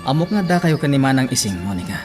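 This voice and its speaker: A man acting a calm, attentive priest in an Ilocano radio drama. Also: medium pitch, fast, loud music behind the voice, natural voice.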